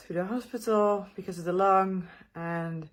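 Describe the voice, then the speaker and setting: A woman's voice: mid-range voice, fairly even intonation, normal speed. Breathless woman vlogger. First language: Dutch. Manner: tired, hesitant, frequent restarts. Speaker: travel vlogger. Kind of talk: casual talk to camera.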